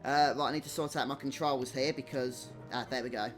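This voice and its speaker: A man's voice: medium pitch, fairly even intonation, brisk, fairly bright tone. A man giving casual, chatty live gameplay commentary to his viewers as a streamer. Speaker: game streamer. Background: game music.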